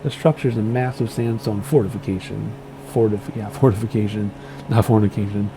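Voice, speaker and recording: A man chats through a flight sim game and reads place notes aloud, voice low-toned, dark and gravelly and clearly wearing out. He rambles playfully and fumbles some names. A faint hum sits under his voice. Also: low-quality recording. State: losing his voice. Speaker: gaming streamer. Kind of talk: casual flight sim commentary, reading aloud.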